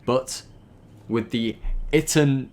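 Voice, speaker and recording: A man's voice: medium pitch, slow pace, darkish tone. A man giving an informal dramatic reading of a story, stumbling now and then and adding chatty asides. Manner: stumbles over words and breaks off to comment. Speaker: host reading a backstory aloud.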